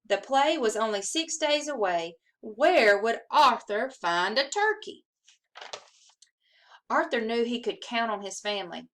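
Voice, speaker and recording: A woman with a fairly high voice reads a picture book to schoolchildren. Her delivery is expressive and animated, with emphasis on the characters' lines. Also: a little slow. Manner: animated, acts out dialogue with emphasis. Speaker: assistant principal reading aloud to schoolchildren. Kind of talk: expressive children's storybook read-aloud.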